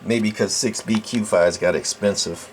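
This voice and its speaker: A man presenting an informal hands-on technical demo in a halting, folksy, explanatory way, recorded indoors on a camera microphone. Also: fairly low pitch. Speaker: channel host. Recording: clear background noise, room ambience.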